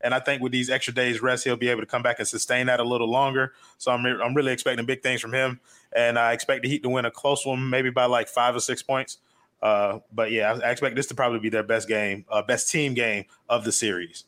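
A man's voice: medium pitch, little pitch movement, fast talker, fairly bright tone. A man giving his take as a panelist on a casual sports podcast.

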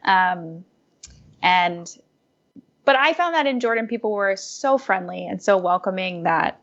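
A young adult woman being interviewed, giving thoughtful, animated answers with fillers and restarts. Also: medium pitch, unhurried. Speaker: guest, former diplomat and food blogger. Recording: muffled lo-fi audio.

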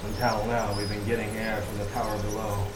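A man's voice: lowish voice, monotone, brisk, loud music behind the voice, close to an everyday voice. An actor playing a grim, haunted lighthouse keeper, telling his story with dramatic suspense in an audio horror drama. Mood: hushed dread. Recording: echoey, noisy radio play sound.

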